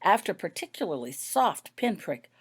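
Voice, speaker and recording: A woman's voice: on the low side, sing-song, moderate pace, breathy edge. A woman reading her own story aloud as scripted audiobook narration.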